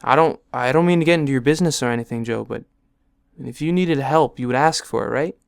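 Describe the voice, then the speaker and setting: A man voicing a kind, hesitant high school boy in an audiobook drama. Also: quick pace, gravelly, smooth, lightly acted. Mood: awkward concern. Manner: hesitant, awkward. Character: awkward, kind-hearted teenage schoolboy roommate. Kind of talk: audiobook character acting.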